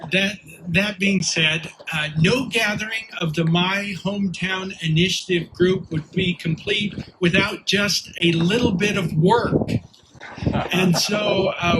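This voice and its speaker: A man's voice: high voice, background noise. A man organizing the event, giving casual, friendly instructions to volunteers, with many fillers.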